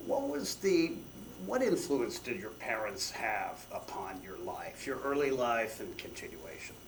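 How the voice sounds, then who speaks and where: A man's voice: medium pitch, very animated, a little slow, recorded from a distance, a little hiss or hum, rough recording. A man interviewing a guest, prompting him with background details and filling in his answers. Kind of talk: conversational interview questions.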